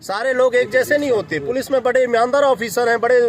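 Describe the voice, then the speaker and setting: A man's voice: extremely high pitch, fast talker, slight background noise. A man, a lawyer, briefing reporters in an earnest way, full of legal terms. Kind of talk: press interview.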